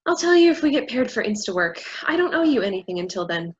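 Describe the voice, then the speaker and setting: A woman's voice: on the high side, very animated, fast, lo-fi sound, a little hiss or hum. A woman acting an angry, bossy stickler for rules in a staged play reading, scolding and insistent. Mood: scornful, superior.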